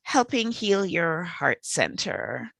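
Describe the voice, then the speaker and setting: Effusive Trinidadian woman, lively pitch. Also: slow pace, gravelly, dry recording. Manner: effusive, enthusiastic. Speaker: host. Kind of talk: online networking call. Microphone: video call.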